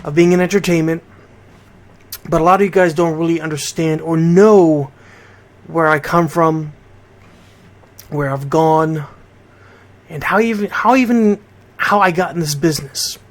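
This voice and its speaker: Higher-pitched American man hosting radio. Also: a little slow, pauses often. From Bridgeport, Connecticut, United States. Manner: conversational, addresses listeners directly. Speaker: radio host and DJ. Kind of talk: informal radio monologue.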